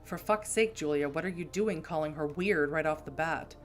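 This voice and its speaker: A woman's voice: low voice, moderate pace, faint music behind, close to an everyday voice. A woman voicing a brusque, blunt young woman in a story game, with an American accent. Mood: flustered. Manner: curt and brusque. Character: gruff blunt woman, a brash young outsider.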